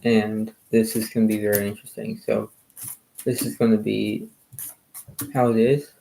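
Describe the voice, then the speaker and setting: A soft-spoken man, a little hoarse, hosting a card game and rambling in repeated filler phrases. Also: on the low side, little pitch movement, moderate pace, a little hiss or hum, low-quality recording. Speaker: channel host playing cards. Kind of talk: casual rambling talk to camera.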